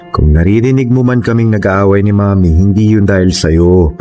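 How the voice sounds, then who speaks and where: A man's voice: lowish voice, mellow. A man acting a domineering, jealous young father and boyfriend in a radio drama. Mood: gentle, fatherly. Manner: domineering, intense. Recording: music under the voice.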